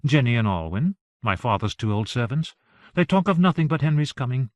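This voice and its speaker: A man's voice: medium pitch, big pitch swings, brisk, darkish tone, dry recording, muffled recording, natural voice. A man voicing an earnest young wife who talks eagerly and persuasively, in a storybook reading.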